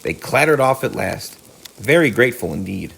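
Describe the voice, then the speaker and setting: A man's voice: on the low side, expressive, normal speed, creaky, fairly bright tone, slightly rough. A man narrating a children's storybook as a teacher reading aloud.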